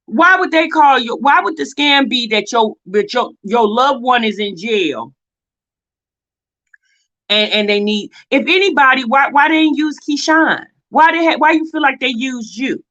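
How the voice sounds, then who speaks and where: A woman's voice: high voice, lively intonation, unhurried, fairly bright tone, highs cut off. An American woman giving casual, opinionated commentary as a reaction video host, blunt and sassy, often exasperated and firing off rhetorical questions.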